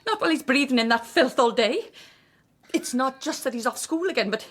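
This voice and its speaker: Fretful, animated Welsh mother. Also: high-pitched, brisk. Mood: upset, indignant. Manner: plain-spoken, fretful. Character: upset, outspoken working-class Welsh mother, anxious for her son. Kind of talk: radio drama character acting.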